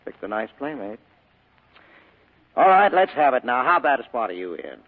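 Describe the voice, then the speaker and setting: A man's voice: mid-range voice, sing-song, normal speed. A man playing a wry, tough, cynical private detective in a 1940s radio drama, slangy and sardonic. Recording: narrow, tinny old radio broadcast.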